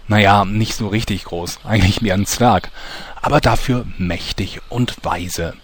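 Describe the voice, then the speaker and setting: A man's voice: fairly low pitch, a little slow, a little hiss or hum, close to an everyday voice. A man playing a mock-solemn, easily flustered fairy-tale narrator in a comedy audio drama. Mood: storytelling. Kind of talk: scripted storytelling in German audio drama parody.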